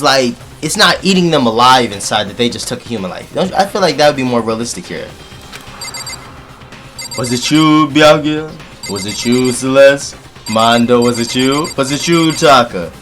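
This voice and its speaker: A man with a deep voice doing animated, joking let's play commentary and talking straight to his audience. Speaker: gaming YouTuber.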